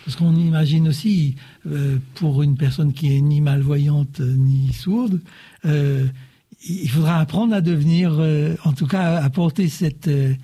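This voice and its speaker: A male interviewer with a very dark, mellow, husky voice, questioning two nuns. He phrases his questions haltingly, thinking aloud and filling pauses as he goes. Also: on the high side, steady tone. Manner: hesitant phrasing, thinking aloud with many fillers.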